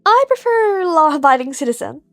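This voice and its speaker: Reckless teenage boy vigilante, played by a woman: high, glib, deadpan, argumentative. Close dry audiobook sound. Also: brisk, dry recording, soft background music, full character voice. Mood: defiant, glib.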